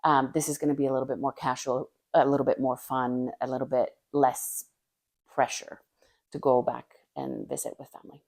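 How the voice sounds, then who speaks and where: A woman from Finland in her thirties, an artist giving earnest, thoughtful answers in a casual interview, seated at a table in a bright living room. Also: very deep voice, steady tone, normal speed. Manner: thoughtful, earnest, with little laughs. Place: bright living room with art-covered walls. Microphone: camera across a table.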